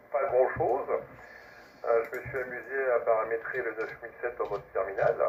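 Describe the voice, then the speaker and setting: A man giving a casual, halting report as an amateur radio operator, heard over a radio transmission. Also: on the low side. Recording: phone-like narrowband radio with faint noise.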